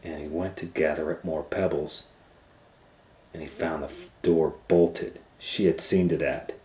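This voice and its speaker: Deep-voiced man reading a dramatic children's story. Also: steady tone, a little slow. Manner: dramatic, swinging from hushed whispers to loud exclamations. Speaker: storytime reader and host. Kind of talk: animated children's story read-aloud. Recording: roomy echo, faint background noise.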